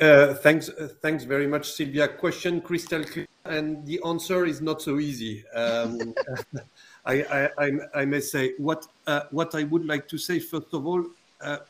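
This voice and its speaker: Higher-pitched man answering haltingly. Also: fairly even intonation, fairly bright tone. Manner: halting, repeats words. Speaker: panelist from industry. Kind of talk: panel discussion, unscripted answer.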